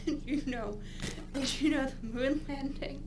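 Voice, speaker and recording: A woman playing a frightened, wounded but defiant heroine in an audio drama, gasping, halting and pleading weakly. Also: high voice, steady tone, background noise. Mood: delirious, weak. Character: frightened, wounded, defiant woman begging her attacker for mercy.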